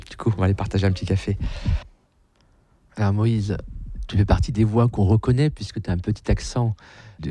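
Man, radio interviewer, quick speech, dark tone, friendly questions. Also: low voice, slightly rough. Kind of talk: vox-pop interviews.

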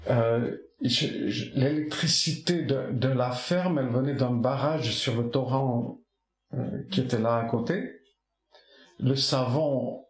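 Basque-born guy, basque his first language, soft poetic rambling w lots of restarts, middle pitch, echoey room. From Basque Country. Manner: soft-spoken, poetic, halting with many restarts. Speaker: artist interviewed. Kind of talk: reflective reminiscing interview.

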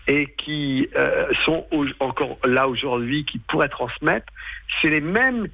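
Male stage performer, sixties, native patois speaker. Gravelly, bright voice, hesitant and colloquial interview talk. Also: a little high, takes it slowly, no echo. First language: western French patois. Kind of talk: casual radio interview.

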